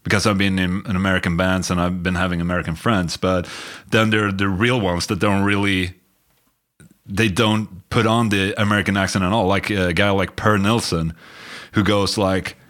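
Swedish guy, lowish voice, laid back, lots of uh and i mean, slight swedish accent. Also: normal speed. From Sweden. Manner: relaxed, rambling, many fillers. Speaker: guest musician. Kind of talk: casual chat.

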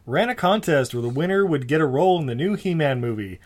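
Laid-back man with lively pitch. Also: quick pace. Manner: laid-back, joking, reads trivia. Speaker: podcast co-host. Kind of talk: casual chat.

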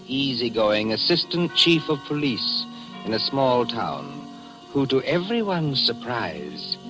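A man announcing and narrating a 1940s radio thriller in a measured, formal, ominous manner. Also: medium pitch, rough recording.

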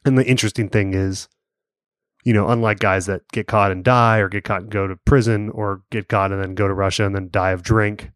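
Low-ish guy rambling on a podcast, dry close mic. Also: fairly even intonation, fairly quick, clear, resonant tone, no room sound. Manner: rambling, lots of fillers and restarts. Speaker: podcast co-host. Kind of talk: casual chat.

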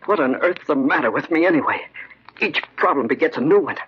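An actor playing an anxious, desperate young schemer in a 1940s style radio horror play, with a hesitant, nervous manner. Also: male voice, fairly high pitch, expressive, fairly quick, slightly rough. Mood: desperate, brooding. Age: young adult. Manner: hesitant, nervous, stiffly polite. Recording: dull, lo-fi old radio recording.

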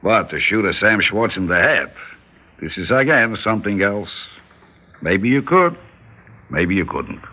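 An actor playing an unflappable older shopkeeper in a classic radio drama, needling philosophically with Yiddish-inflected phrasing. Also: male voice, on the low side, big pitch swings, a little slow, pauses often. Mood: calm, needling. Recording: muffled old radio broadcast.

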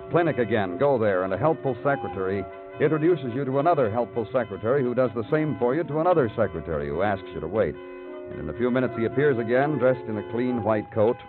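Male actor as dogged noir police detective, expressive delivery, wry and terse, vintage radio drama with thin sound and music. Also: on the low side, natural voice. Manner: terse, insistent questioning, moody narration. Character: dogged, wry police detective who narrates his case. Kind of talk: 1950s radio crime drama acting. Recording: old radio, phone-like band, music.

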